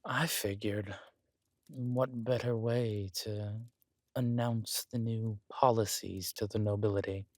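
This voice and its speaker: A man playing a regal, wry, menacing villain king in a roleplay audio drama, speaking in a measured, intimate, smugly theatrical way. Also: on the low side, steady tone, a little mellow, no echo, studio quality. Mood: pensive, regal.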